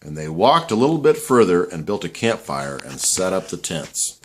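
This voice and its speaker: A man's voice: sits low, very animated, normal speed. A man reading scripted storybook narration for a puppet show.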